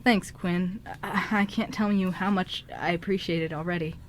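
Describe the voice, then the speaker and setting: A woman's voice: on the low side, steady tone, normal speed, a little hiss or hum, close to an everyday voice. A woman playing a flustered, kind-hearted male ghost who stammers and rambles in an amateur radio drama. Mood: hesitant, pleading.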